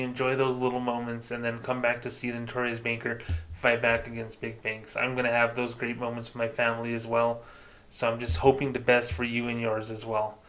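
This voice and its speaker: A man hosting a solo podcast, talking casually in a self-described monotone and swearing freely. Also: medium pitch, low-quality recording. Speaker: podcast host.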